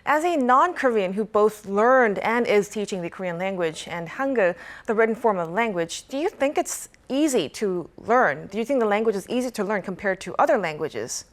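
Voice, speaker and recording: A woman's voice: mid-range voice, animated, normal speed, few pauses. A woman hosting a broadcast interview, politely prompting her guests with questions. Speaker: news anchor, interviewer.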